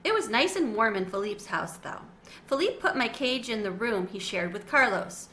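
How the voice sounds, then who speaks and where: A woman reading a children's chapter book aloud with expressive, animated storytelling. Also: a little high, normal speed, slight background noise. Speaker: storybook reader. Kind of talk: children's read-aloud narration.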